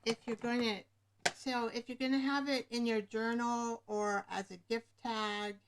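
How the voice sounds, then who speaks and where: Slow-talking woman hosting a craft livestream. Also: a little high, level tone, background noise. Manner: rambling, searches for words. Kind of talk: casual livestream chat. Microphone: webcam microphone above the screen.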